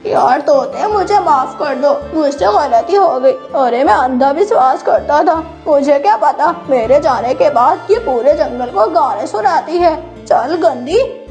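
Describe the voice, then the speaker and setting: A woman playing a suspicious, quick-tempered male crow husband in a cartoon animal fable, indignant and scolding. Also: high-pitched, animated, darkish tone, soft background music. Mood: indignant, regretful.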